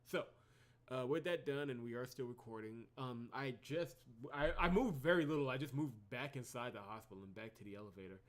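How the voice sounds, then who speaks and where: A man's voice: mid-range voice, animated, brisk. Casual, rambling male gameplay host. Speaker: video game playthrough host.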